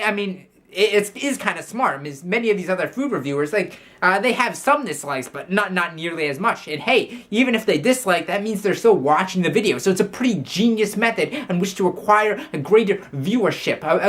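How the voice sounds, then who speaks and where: A woman giving a comedic YouTube commentary, praising mockingly with a straight face and often stumbling over and restarting her words. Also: on the low side. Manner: mock-serious, ironic praise; frequent stammered restarts.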